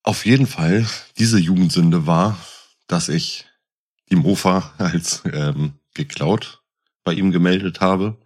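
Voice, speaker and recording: Very low-voiced, husky man telling stories. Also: slow pace. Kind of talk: casual anecdote telling.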